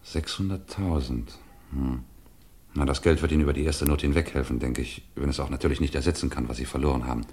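An actor playing a composed, probing police commissioner in a German radio crime drama, speaking in a measured, courteous way. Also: male voice, very deep voice, darkish tone. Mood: sympathetic, probing.

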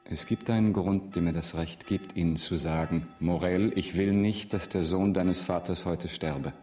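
A man's voice: low voice, moderate pace, dark tone, soft background music, lightly acted. An actor in a German radio drama playing a commanding, earnest, fatherly count, solemn and intense. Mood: solemn, pleading.

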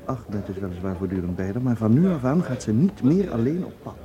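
A man's voice: medium pitch, fairly quick, dull audio, lightly acted. A man acting in a radio drama as a polite, cool-headed bachelor doing covert watching. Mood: guarded. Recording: radio play with busy crowd ambience.

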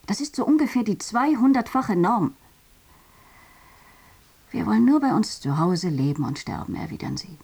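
A woman playing a sober, reflective journalist in a radio drama, speaking in a measured, husky voice. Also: medium pitch, expressive, a little mellow. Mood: sober, insistent. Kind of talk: radio drama documentary monologue.

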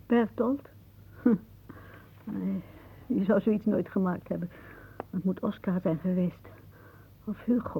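An actress playing a frail, anxious, possessive old aunt in a radio play, with a plaintive, wistful delivery. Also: female voice, medium pitch, creaky, breathy edge. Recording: muffled, narrow-band old radio recording.

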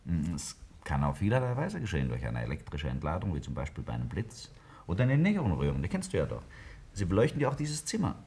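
A deep-voiced scientist takes charge in a 1950s German radio play. He explains with measured confidence, his pitch moving freely as he lectures. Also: wildly swooping pitch, a little mellow, heavily acted. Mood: deliberate, assertive. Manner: didactic, deliberate explaining.

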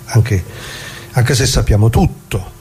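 An actor playing a dead retired working-class man, bitter and plain-spoken, addressing listeners in a halting, repetitive theatrical radio monologue. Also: medium pitch, darkish tone, a little hiss or hum. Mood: grave, bitter. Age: older.

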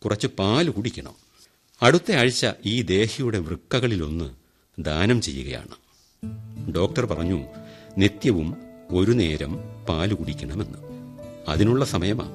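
A man's voice: low-pitched, expressive, unhurried, pauses often, over music. An actor playing a wry man who talks straight to the audience in a radio drama monologue. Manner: wry, chatty and teasing with the listeners. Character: ironic middle-aged man who donates a kidney. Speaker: monologue narrator in a radio play. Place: radio studio.

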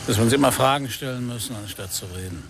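A man's voice: mid-range voice, fast, background noise, natural voice. An actor playing a world-weary male detective in a German radio crime drama, speaking in a laconic, pensive way. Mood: guarded. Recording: 1991 radio play with music behind.

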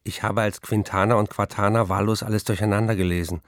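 An actor playing a reflective writer who recalls his bookish childhood in measured, literary phrases for a radio play. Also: fairly low pitch, steady tone, no room sound, clean studio sound, natural voice. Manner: measured, literary first-person recollection.

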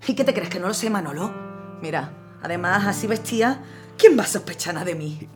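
A woman's voice: lowish voice, expressive, a little fast, faint music behind, close to an everyday voice. A woman playing a resolute, practical anarchist militiawoman in an audio drama, speaking firmly and plainly. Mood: defiant reasoning.

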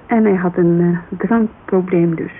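A woman's voice: on the low side, steady tone, measured pace, natural voice. An actress playing a guarded, candid agency owner in her thirties in a naturalistic Dutch radio drama, speaking colloquially and searching for words. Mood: reflective, candid. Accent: Dutch, Netherlands. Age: 30s. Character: thirty-three-year-old Amsterdam model agency owner, guarded, wistful, candid. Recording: narrow, hissy old radio recording.

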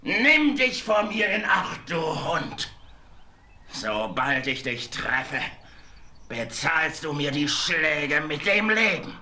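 An actor playing a pompous, vengeful villainous general in a German western radio play, indignant and easily flustered. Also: male voice, on the high side, very animated, slow pace, sharp tone, slight background noise, full character voice. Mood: furious threat. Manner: pompous, indignant, stammering when cornered. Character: blustering, vengeful villainous general in the Old West.

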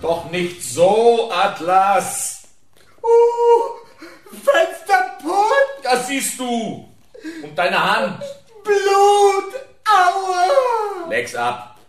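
An actor playing a fussy henchman minding his dim brute brother, theatrical and drawn-out, in a radio crime comedy. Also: very high voice, wildly swooping pitch, over music, exaggerated voice. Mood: scolding, fussing.

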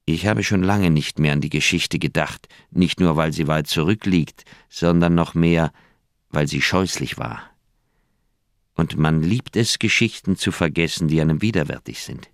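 An actor playing an older, thoughtful doctor, recounting memories in a measured way in a radio play. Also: male voice, very deep voice, vocal fry, no echo, studio quality. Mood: troubled, candid. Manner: measured, deliberate storytelling. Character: older male Swiss doctor, thoughtful, candid old friend. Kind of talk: radio play dialogue, reminiscing narration.

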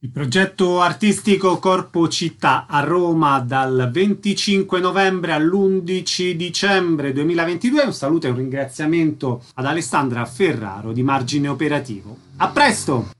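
A male radio host presents and interviews. His voice sits a little high for a man. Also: moderate pace, hardly any pauses, a bit bright.

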